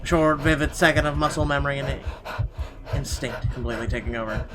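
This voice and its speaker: A man hosting a let's-play, reading visual novel narration aloud in an expressive, dramatic way. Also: mid-range voice, a little fast, heavy background noise. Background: game music.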